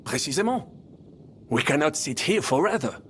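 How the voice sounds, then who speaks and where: An actor playing an older, boastful French knight in a video game, with a French accent and a theatrical, pompous manner that peppers speech with French. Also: male voice, fairly high pitch, sing-song, moderate pace, a bit bright, slight background noise. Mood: haughty. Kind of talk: video game dramatic acting.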